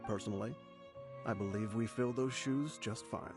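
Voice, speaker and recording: A man's voice: on the low side, normal speed, close to an everyday voice. A man playing a loyal, easygoing henchman in an anime video game, with a dryly teasing manner. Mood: wry, teasing. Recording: background music under dialogue.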